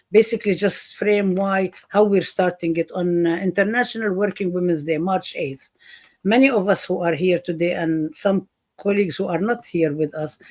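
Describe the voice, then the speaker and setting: A woman professor co-moderating an online classroom roundtable, speaking haltingly with many fillers and restarts. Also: on the low side, a little mellow.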